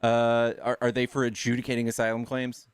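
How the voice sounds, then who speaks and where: A man's voice: medium pitch, monotone, brisk, clean tone, no room sound. A young man in a heated political debate, exasperated and sarcastic, swearing and calling the other man "dude.".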